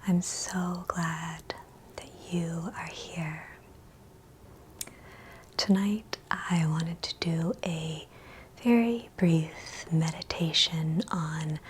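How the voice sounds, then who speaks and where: Very slow whispering woman, ASMR meditation. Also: low-pitched, a little hiss or hum. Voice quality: breathy whisper. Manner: gentle, soothing, with long pauses between short phrases. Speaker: ASMR host and meditation guide. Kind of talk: whispered ASMR guided meditation, read from a script.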